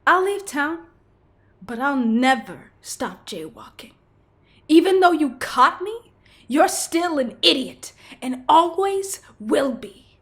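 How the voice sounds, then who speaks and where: Audio comedy villain, female actor: mocking, defiant petty crook, unhurried drawl of taunts, higher register. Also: sing-song, fairly bright tone, full character voice. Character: defiant, mocking serial jaywalker villain who cackles and taunts. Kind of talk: comedic audio drama acting.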